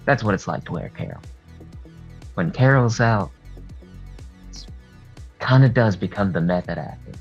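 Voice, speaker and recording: A man with a husky voice talking candidly and at rambling length as a guest in a casual interview. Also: on the low side, animated, moderate pace, long pauses. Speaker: horror artist and video creator, interview guest. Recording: dull lo-fi sound, music behind. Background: music.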